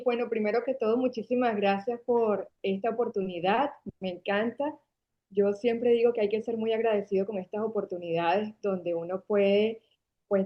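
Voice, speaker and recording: Mujer venezolana de Caracas, sonido opaco. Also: female voice, medium pitch. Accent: Venezuelan Spanish from Caracas. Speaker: guest, marketing agency founder. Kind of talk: interview storytelling. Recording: dull lo-fi sound.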